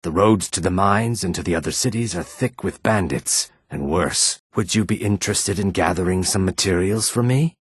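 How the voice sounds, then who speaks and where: An actor playing a weary, guarded male lizard-folk character in a fantasy video game, speaking in a measured, formal way with a raspy, rumbling voice. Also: no room sound, studio quality. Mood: earnest, courteous. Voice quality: raspy, husky, low rumbling.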